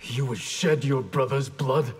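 Honorable stern ninja clan boss, solemn, bit husky, echoey video game sound. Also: male voice, mid-range voice. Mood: grim, defiant. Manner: solemn, formal, measured. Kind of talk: dramatic fighting game dialogue. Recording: reverberant hall-like game mix.

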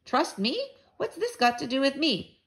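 A woman's voice: high voice, sing-song, measured pace, a bit bright, pitched above the performer's own voice, exaggerated voice. A woman voicing an exasperated, bossy big-brother schoolboy in a children's audiobook, blunt and emphatic. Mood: indignant.

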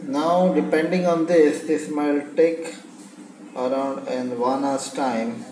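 Man, tutorial presenter, casual walkthrough; dark timbre, faint background noise, phone mic. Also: medium pitch, roomy sound, low-quality recording. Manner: plain step-by-step explaining, non-native phrasing. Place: indoor room at a desk, off camera.

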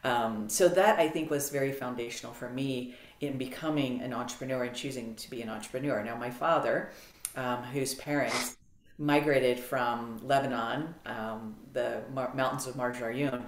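A middle-aged American woman talking as a podcast guest on a video call, animated and clear with frequent ums. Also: extremely low pitch, normal speed. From United States. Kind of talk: casual interview storytelling. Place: home office, video call. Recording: roomy video-call audio.

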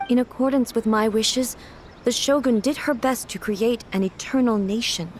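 A woman voicing an ageless goddess ruler in a video game, speaking in a calm, formal, measured way. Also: on the high side, a little hiss or hum, close to an everyday voice. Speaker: game character. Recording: game cutscene with background music. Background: game music.

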